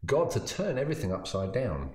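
A man, a pastor, casually summarizing his sermon, often halting and repeating words as he thinks. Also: medium pitch, wildly swooping pitch, a little mellow. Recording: roomy echo.

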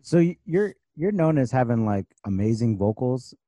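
Mellow-voiced man asking halting questions. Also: medium pitch, sing-song, no echo. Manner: halting, filler-heavy questions. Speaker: podcast host. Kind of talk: casual interview questions.

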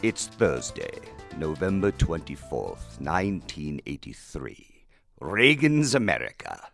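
An actor playing a morose, pompous gothic horror writer who narrates a radio play in a grandiloquent, droll manner. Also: male voice, extremely low pitch, wildly swooping pitch, takes it slowly, vocal fry, music in the background, heavily acted.

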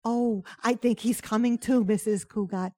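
An actress playing a loyal, plain-spoken housemaid who is eager to help, in an old-time radio sitcom. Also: female voice, on the high side, steady tone, moderate pace, warm tone, dry recording, close to an everyday voice. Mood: concerned.

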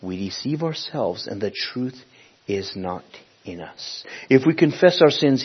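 Husky-voiced male preacher from Honduras. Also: medium pitch. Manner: conversational, halting, searching for words. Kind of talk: sermon with personal storytelling. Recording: dull, muffled lo-fi recording.

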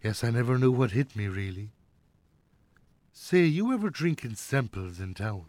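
An actor playing a gentle, wistful Irish father of a grown son in a naturalistic radio drama. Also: mid-range voice, animated, normal speed, dry recording, lightly acted. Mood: reflective, regretful.

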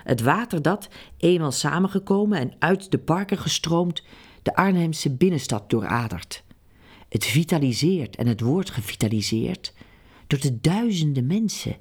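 A woman reading a meditative, incantatory text aloud, guiding listeners in a slow, hushed way. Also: on the low side, husky. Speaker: narrator. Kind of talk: scripted meditative narration.

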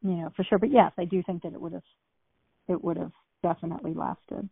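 A woman's voice: lowish voice, steady tone, a little fast, vocal fry, slightly rough, no echo. Rambling woman, actress guest recalling her career, tinny phone line. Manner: chatty, rambling, many fillers and restarts. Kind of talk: casual interview talk.

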